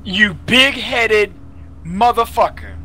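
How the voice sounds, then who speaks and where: A man in casual voice chat during an online game, loud, brash and swearing a lot. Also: sits high, expressive, slow, poor audio. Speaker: gamer on voice chat.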